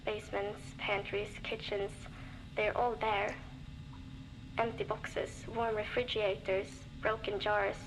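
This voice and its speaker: A gravelly, lonely wasteland survivor. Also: female voice, medium pitch. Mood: weary. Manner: weary, blunt, swears often, dry gallows humour. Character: lonely, worn-down woman survivor in a frozen wasteland. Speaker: game character recording audio diaries. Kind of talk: scripted voice acting as a diary log. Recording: noisy cassette tape recording.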